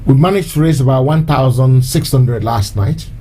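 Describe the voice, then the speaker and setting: A man's voice: mid-range voice, normal speed, warm tone, slight background noise. A man hosting a live-stream show, talking in a loose, repetitive way and slipping in short Yoruba phrases. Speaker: host of an online activist show. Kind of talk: casual live-stream address.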